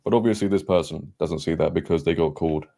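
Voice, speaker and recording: A man's voice: deep voice, quick pace, vocal fry, dark tone. A man delivering sarcastic, mocking scripted commentary on a video. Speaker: commentary channel host.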